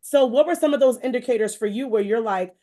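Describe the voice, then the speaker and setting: An American woman hosting a conversational, faith-based interview, speaking in an effusive, rambling way with expressive asides. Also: fairly high pitch, fast. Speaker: show host interviewing a guest.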